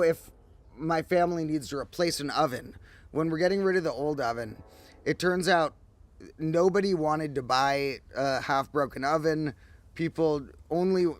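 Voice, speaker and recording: A man hosting a casual livestream, his voice slightly hoarse, telling a rambling story with animated asides. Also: on the high side. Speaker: host. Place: outdoors, windy.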